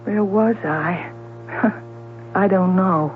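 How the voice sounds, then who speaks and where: An actress playing a distraught, self-loathing woman suspect in a 1970s radio drama, halting and repeating her words. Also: mid-range voice, a little hiss or hum. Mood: bitter, ashamed. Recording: tinny, humming 1970s radio recording.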